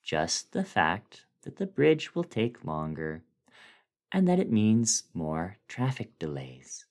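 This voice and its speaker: A man giving a dramatic reading of a horror story in a deliberate, brooding way with dramatic swells. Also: fairly low pitch, wildly swooping pitch, a little mellow. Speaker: dramatic reader.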